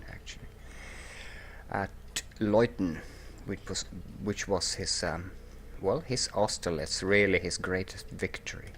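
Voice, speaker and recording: A man's voice: low voice, a little hiss or hum. A man with a groggy morning voice hosting a game playthrough, rambling with many ums and restarts. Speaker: let's play host. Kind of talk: casual game commentary.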